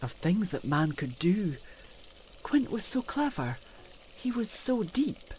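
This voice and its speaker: Casting: a timid, deferential period housekeeper, halting and slow, for gothic audio drama. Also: female voice, medium pitch, sing-song, a little mellow, a little hiss or hum, rough recording. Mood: uneasy, confiding. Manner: hesitant, halting, old-fashioned servant's speech. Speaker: actor in an audio play.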